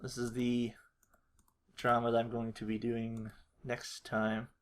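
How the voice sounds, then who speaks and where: A man's voice: mid-range voice, monotone, slow, smooth. A man narrating a casual tutorial, hesitant and unrehearsed, thinking aloud as he works. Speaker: animator, video creator.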